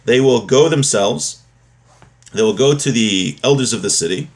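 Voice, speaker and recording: Male religious teacher, mid-pitched voice, reading and explaining a text, restating each point for clarity. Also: moderate pace. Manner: explanatory, repeats and rephrases points. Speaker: religious teacher giving a daily class.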